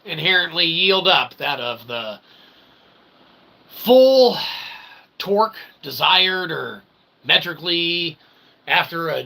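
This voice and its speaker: A man's voice: fairly high pitch, creaky, pushed and bright. A man delivering a tongue-in-cheek, rambling philosophical monologue as a channel host, in wordy, convoluted phrasing with frequent check-ins.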